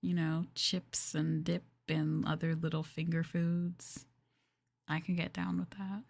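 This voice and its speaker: A woman speaks in a soft, slightly low voice with a breathy, husky edge that often sits close to a whisper. She chats casually and unhurriedly as an ASMR livestream host, musing along with her viewers. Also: level tone, takes it slowly.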